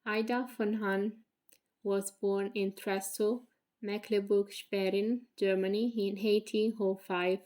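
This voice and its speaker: Italian woman reading in a flat voice. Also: medium pitch. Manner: careful, measured reading with slips. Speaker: graduate student. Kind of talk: reading a prepared presentation.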